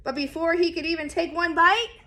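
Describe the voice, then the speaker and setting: A woman reading a children's picture book aloud expressively and deliberately, speaking straight to young listeners. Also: very high voice, bright, forceful tone. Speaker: read-aloud storyteller.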